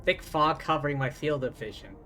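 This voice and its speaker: A man's voice: on the high side, expressive, fairly quick, bright, forceful tone, a little hiss or hum. A man who streams games, reading dialogue and narration aloud dramatically, with casual asides. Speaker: game streamer. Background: game music.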